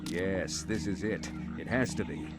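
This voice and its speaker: An actor playing an eager, earnest archaeologist on an expedition in a game cutscene. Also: male voice, low voice, unhurried, over loud music. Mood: excited discovery.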